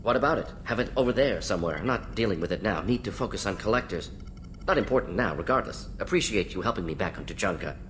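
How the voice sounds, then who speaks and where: An actor playing a brisk, clinical alien scientist in a video game, speaking in clipped, telegraphic, matter-of-fact phrases. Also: male voice, fairly low pitch, lively intonation, noisy background, close to an everyday voice. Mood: dismissive. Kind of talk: video game character acting.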